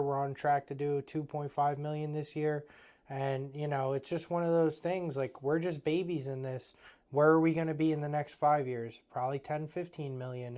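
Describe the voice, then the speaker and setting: A man in his thirties with an Eastern Pennsylvania accent talks shop about his plumbing business. He is blunt and motivational and uses plenty of casual fillers. His voice is smooth and clean, and sits a bit high for a man. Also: darkish tone. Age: 30s.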